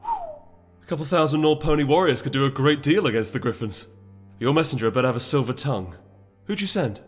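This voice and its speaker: A man voicing a brisk, direct pony officer in a fantasy audiobook. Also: on the high side, wildly swooping pitch, breathy edge, soft background music, pitched above the performer's own voice, full character voice. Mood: impressed, curious.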